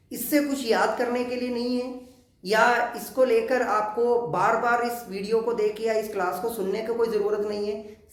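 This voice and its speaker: A man from Kerala, teaching a spoken English grammar lesson in a slow, deliberate way with many English terms. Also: very high voice. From Kerala, India. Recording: echoey room.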